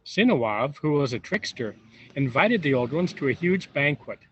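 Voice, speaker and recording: A man reading a storybook aloud to young children, expressive and unhurried, pausing for wondering asides. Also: fairly high pitch, slightly rough. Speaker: volunteer storybook reader. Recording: roomy echo, dull lo-fi sound.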